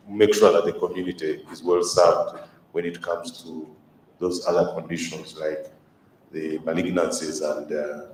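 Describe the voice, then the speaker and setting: A man moderating a health conference session, halting with many fillers. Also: deep voice, darkish tone, slight background noise. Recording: echoey hall from far off, faint ambience.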